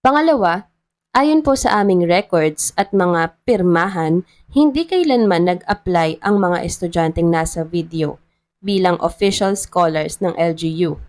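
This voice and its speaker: A woman with a Filipino accent voicing a strict, principled female town treasurer in a story, calm, formal and resolute. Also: on the low side, a little slow. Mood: firm.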